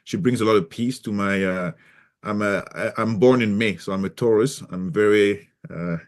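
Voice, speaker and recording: A man raised in Cameroon, a native French speaker with a mixed French and Cameroonian accent, talking in a casual interview with many pauses and restarts. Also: on the low side. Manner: hesitant, many fillers and restarts. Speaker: guest.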